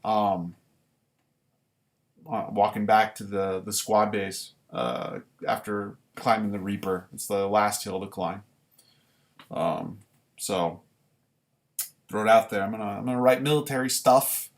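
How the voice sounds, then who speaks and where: A man reading his own writing live and commenting on it casually, in deliberate, halting short phrases. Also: on the low side, steady tone. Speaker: writer reading own work; former military recruit.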